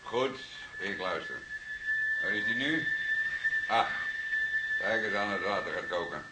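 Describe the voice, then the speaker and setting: A man playing an unhurried, wry, stubborn veteran police detective in a radio drama, speaking in a deliberate, dry, fatherly way. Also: fairly low pitch, lively intonation. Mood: calm, wry. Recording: noisy archive radio drama recording.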